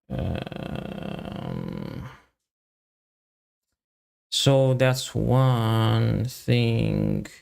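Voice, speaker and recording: Slow, very creaky man thinking aloud. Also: on the low side, a little mellow. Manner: halting, muttering, half-finished phrases. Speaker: live-stream coding host. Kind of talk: thinking aloud while live coding.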